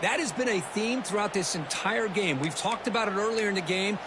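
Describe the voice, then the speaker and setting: A man's voice: sits high, fairly quick, background noise, close to an everyday voice. A man playing a folksy American color commentator in a football video game, emphatic and conversational as he analyzes the action. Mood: analytical. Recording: loud music behind.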